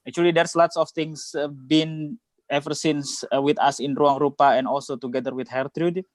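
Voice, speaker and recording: A man with an Indonesian accent hosting a casual online conversation, halting as he searches for words and often saying "like". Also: a little high, steady tone, dry recording. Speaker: host. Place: indoors, video call.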